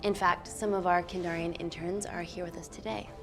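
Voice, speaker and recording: A composed female scientist played by an actress with a fairly low, articulate voice. She speaks earnestly, as in a film drama, with music behind her. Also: fairly even intonation, fairly quick, close to an everyday voice. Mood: poised, formal. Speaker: scientist presenting to investors. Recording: film soundtrack with background music.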